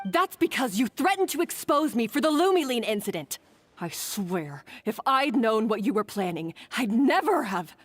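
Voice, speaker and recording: A woman's voice: a little high, big pitch swings, moderate pace, soft background music. A woman playing a resentful, blackmailed underling who pushes back indignantly in a video game scene. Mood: bitter, defiant. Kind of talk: scripted video game voice acting.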